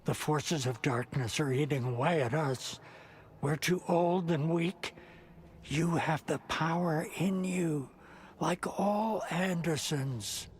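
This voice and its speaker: An actor playing a weary, wry old Norse mystic in a video game, speaking cryptically and portentously. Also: male voice, a little high, slow, a little hiss or hum. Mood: grave, weary. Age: older. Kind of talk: cinematic video game acting.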